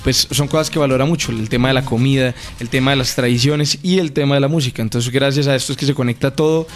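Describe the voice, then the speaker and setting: Fast-talking singer. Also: male voice, medium pitch, fairly even intonation. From Colombia. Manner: eager, talks at length, passionate. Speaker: singer guest. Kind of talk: radio interview.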